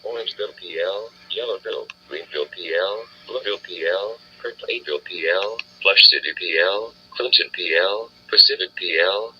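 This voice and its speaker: Male bulletin announcer, low and very forceful synthetic voice, lo-fi broadcast sound. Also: husky. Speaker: emergency alert announcer. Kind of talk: scripted emergency alert announcement. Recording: dull lo-fi radio-like audio, faint noise.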